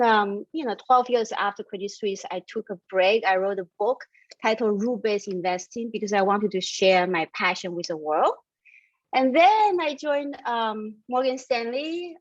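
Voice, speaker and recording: A woman's voice: fairly high pitch, no room sound, dull audio. A middle-aged woman, a startup founder from Taiwan raised in Austria, answering interview questions earnestly with plenty of fillers. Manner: earnest and enthusiastic, with frequent fillers and occasional laughs.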